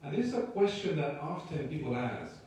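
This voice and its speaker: A man from Chile preaching in English as a guest pastor, pausing after each short phrase so it can be interpreted. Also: on the high side, sing-song, darkish tone. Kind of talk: sermon with live interpreter. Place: church sanctuary. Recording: echoey church hall from far off.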